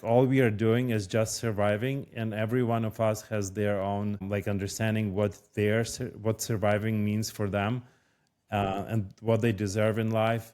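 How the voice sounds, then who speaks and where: A man speaking as a podcast guest, musing aloud with many pauses and filler words. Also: lowish voice, a little mellow. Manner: musing, with many ums and likes. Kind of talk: casual interview talk.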